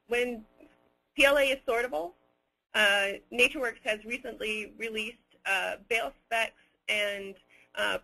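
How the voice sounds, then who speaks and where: A man answering audience questions as a webinar presenter, unscripted, hesitant and halting as he picks his words with care. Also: high voice, vocal fry, sharp tone, slightly rough, low-quality recording.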